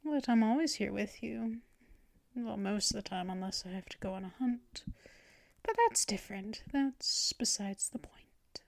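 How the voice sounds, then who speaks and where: A woman voicing a gentle, possessive female vampire mistress in an ASMR roleplay, speaking softly and often dropping into whispers and shushing. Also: medium pitch, unhurried, vocal fry, no room sound. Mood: soothing. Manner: soft and soothing, drifting into whispers and shushing. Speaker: ASMR performer.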